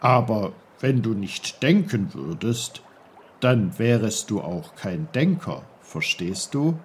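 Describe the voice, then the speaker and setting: A man's voice: fairly low pitch, very animated, takes it slowly, slight background noise, pitched below the performer's own voice. Man doing a grumbling old hothead uncle. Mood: explaining. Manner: grumbling, gruff.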